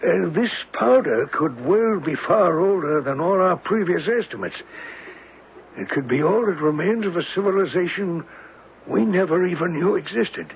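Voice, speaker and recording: An actor playing a scholarly older professor in a 1970s radio drama, speaking with a hesitant, deliberate manner. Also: male voice, high-pitched, lively intonation, creaky. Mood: intrigued. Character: scholarly older male professor, absent-minded yet eager. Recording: noisy, tinny old radio broadcast.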